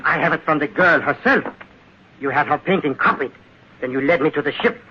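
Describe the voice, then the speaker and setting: An actor playing a cold, threatening warlord villain with clipped, commanding delivery in a vintage radio drama. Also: male voice, on the high side, a little fast, lightly acted. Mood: cold, accusing. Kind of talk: 1940s-50s radio acting. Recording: muffled old radio recording, faint noise.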